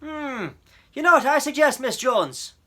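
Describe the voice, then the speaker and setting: A man's voice: extremely high pitch, animated, a little slow, sharp tone, pitched above the performer's own voice, exaggerated voice. A man voicing a hearty, patronizing police officer with an amused manner in a storybook. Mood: jovial, soothing. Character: gruff, jovial, patronizing male police officer on the telephone. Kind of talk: storybook character voice.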